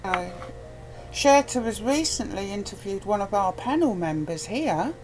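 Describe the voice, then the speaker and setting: A woman talks as a guest in a casual, opinionated chat, recorded with noticeable ambient noise. Her voice sits at a middle pitch, and she is blunt and emphatic, often repeating a phrase to drive it home. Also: expressive, a little slow. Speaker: interview guest. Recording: clear background noise, ambient sound.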